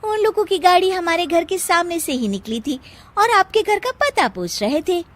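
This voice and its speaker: A woman's voice: very high voice, animated, moderate pace, pitched above the performer's own voice. A woman voicing a sly young sister-in-law who needles with mock-innocent questions in a family story. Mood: sly mockery.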